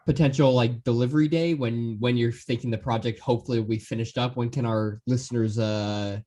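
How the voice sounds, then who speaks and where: A man's voice: medium pitch, fairly even intonation, fairly quick, mellow. A man hosting a podcast, chatting casually and enthusiastically as he interviews his guests. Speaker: podcast host.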